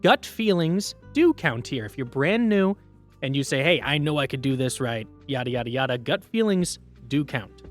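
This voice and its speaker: A man's voice: medium pitch, expressive, normal speed, faint music behind. A man presenting an instructional workshop in an informal, emphatic, conversational way.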